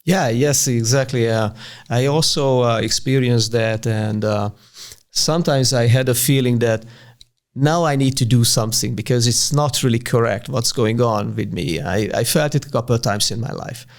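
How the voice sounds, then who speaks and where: A man with a Hungarian accent interviewing casually, hesitant and halting as he searches for words. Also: mid-range voice.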